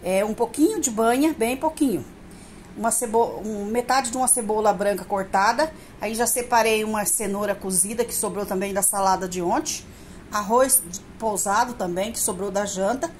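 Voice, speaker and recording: A woman's voice: medium pitch, normal speed. A middle-aged woman, chatting casually and directly to viewers as she demonstrates home cooking. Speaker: home cook and vlogger. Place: small home kitchen, indoors. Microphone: phone, partly from across the room. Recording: echoey kitchen with clear sizzling noise.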